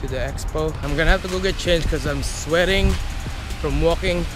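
A man's voice: on the high side, normal speed. A young man chatting casually to camera as a vlogger, filming himself at arm's length. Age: young adult. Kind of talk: casual vlog chat to camera. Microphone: action camera at arm's length. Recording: loud music behind the voice. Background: loud music.

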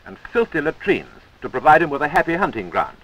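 A British man narrates a 1940s army instructional film in a fairly high voice that rises and falls with great animation. His scripted commentary is wry and chummy, heard through an old film soundtrack. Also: very animated, fast, fairly bright tone, poor audio.

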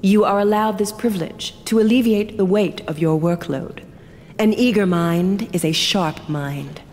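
Actress as a measured scholarly mentor, reverberant hall. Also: female voice, medium pitch, darkish tone, slight background noise, lightly acted. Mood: approving, measured. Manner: formal, measured, gracious. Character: measured, kindly scholarly mentor lady, keeper of records. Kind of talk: fantasy audio drama acting. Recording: long hall reverb, music behind.

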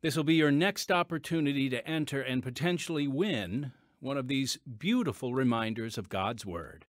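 An American man hosting a devotional podcast in scripted narration, with measured, emphatic, dramatic phrasing. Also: medium pitch, animated, dry recording, clean studio sound. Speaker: podcast host and preacher.

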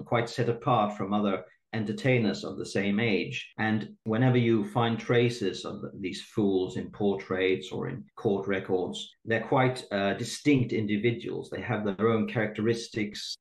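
A man's voice: lowish voice, a little mellow, reverberant. A man from Sweden, a native Swedish speaker, answering interview questions in a thoughtful way with many fillers and restarts. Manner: thoughtful, hesitant with fillers and repeated words. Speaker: guest historian.

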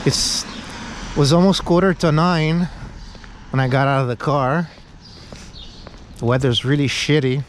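A man's voice: a little high, fairly even intonation, unhurried, long pauses. A middle-aged man, rambling and joking self-mockingly while vlogging as he walks down a rainy city street. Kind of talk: rambling walking vlog. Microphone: action camera held at arm's length. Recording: street and traffic noise.

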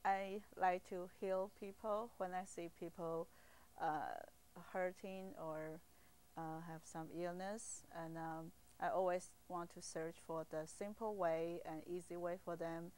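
Lower-pitched Taiwanese-accented woman, hesitant. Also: steady tone, takes it slowly, a little mellow. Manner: hesitant, simply phrased non-native English. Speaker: acupuncturist being interviewed. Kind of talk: informal explanatory interview.

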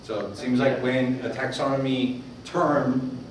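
A man hosts an informal tech meetup, addressing the room in a casual way. His medium-pitched voice is picked up from far off in a very echoey space, with faint noise behind it. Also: steady tone, a little slow. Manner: casual, repeats audience questions for the room. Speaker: host of a community tech meetup. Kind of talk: informal emceeing to a crowd.

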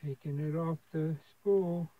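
A man casually narrating a hands-on repair demonstration at his workbench, with an unhurried, folksy manner. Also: on the high side, fairly even intonation, phone-quality sound. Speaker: hobbyist host demonstrating radio repair. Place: indoor electronics workbench. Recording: clear background machine whir and noise.

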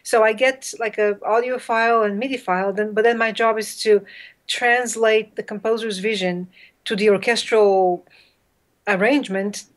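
A middle-aged woman from Bulgaria talks over a webcam from her home, earnest and eager as she explains her craft in long answers. Her voice sits at an ordinary middle pitch for a woman. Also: fairly even intonation, moderate pace. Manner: earnest, enthusiastic, explains at length. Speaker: composer and orchestrator guest. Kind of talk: casual interview over video call. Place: home room with shelves and art. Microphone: video call webcam.

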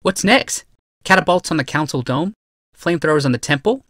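A man's voice: fairly high pitch, lively intonation, a little fast, a bit bright, no echo, studio quality, voice pushed up. A man voicing an idealistic, defiant noblewoman. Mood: sarcastic. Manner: pleading, incredulous questions.

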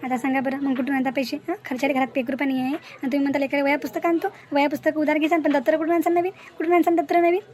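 A woman acts a practical village mother, fretful yet matter-of-fact. Her high voice moves quickly in a Varhadi Marathi accent, in a homespun cartoon story. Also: little pitch movement, darkish tone, lightly acted. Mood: fretful arguing. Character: practical, worried mother of poor village family. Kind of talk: amateur moral-story cartoon dialogue.

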